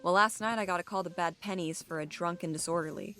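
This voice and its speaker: An actress plays a steady female police captain in an audio drama. Her voice sits fairly low, and she speaks frankly with a dry, supportive manner. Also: quick pace. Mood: wry, cautioning. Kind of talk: audio drama acting, naturalistic dialogue.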